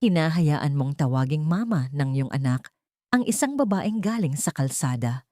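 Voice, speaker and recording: A woman's voice: low voice, lively intonation, moderate pace, warm tone, no room sound, studio quality. A woman voicing a haughty, spiteful older aunt in a family drama, sneering and scornful. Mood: angry, accusing.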